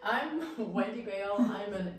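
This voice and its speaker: A woman's voice: medium pitch, lively intonation, unhurried. A woman eagerly welcoming artist friends into her home. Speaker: host of the gathering, artist. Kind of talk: casual group chat. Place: dining room in a home. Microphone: far room camera. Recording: very echoey and distant, with noise.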